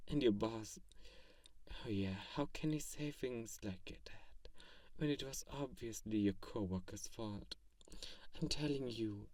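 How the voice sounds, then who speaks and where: A man playing an ancient, teasing yet soothing vampire in an intimate ASMR roleplay, speaking in slow phrases with hushed touches. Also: on the low side, no echo, far from the mic, natural voice. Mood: sympathetic, probing. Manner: slow pauses, soft chuckles, drops into whispers. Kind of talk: ASMR roleplay, intimate one-sided comfort monologue.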